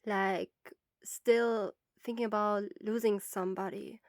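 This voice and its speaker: A woman's voice: mid-range voice, fairly even intonation, slow pace, no echo, clean studio sound. A woman in her twenties speaking informally to listeners in a nervous, rambling way. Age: 20s. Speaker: amateur voice actor and fan-fiction writer. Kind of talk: informal personal talk to listeners.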